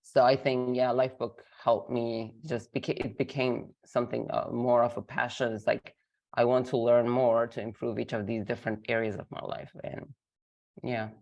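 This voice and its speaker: A woman from Lebanon speaks as an interview guest over a video call, her voice worn by a week of illness. She talks in a halting, casual way, filling pauses with ums and uhs. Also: extremely low pitch, little pitch movement, a little mellow, lo-fi sound. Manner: hesitant, many fillers. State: sick, voice affected.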